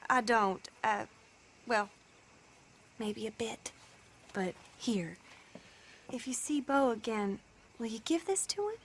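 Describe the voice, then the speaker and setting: A young Southern heiress with rebellious ideals in a period video game. Her voice sits fairly high, with a Southern American accent and a genteel, wry chattiness. Light ambient noise sits behind her. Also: animated, takes it slowly, pauses often, a bit bright, exaggerated voice. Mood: wry, confiding.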